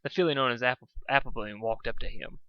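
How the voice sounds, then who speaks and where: A man's voice: mid-range voice, fast talker, clear, resonant tone, dry recording. A man narrating a story informally as an amateur reader, stumbling over words and breaking off for asides. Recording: dull, lo-fi home recording.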